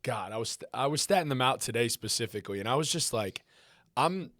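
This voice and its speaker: A man co-hosting a casual fantasy football discussion, talking quickly with frequent restarts. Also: mid-range voice, expressive, a bit bright, no room sound, clean studio sound. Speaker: co-host.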